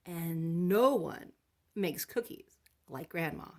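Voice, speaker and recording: A woman voicing a welcoming, generous mother in a picture story, with a slow, sing-song, exclaiming delivery. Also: on the low side, animated. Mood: fond, sure. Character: welcoming, generous mother serving home-baked cookies.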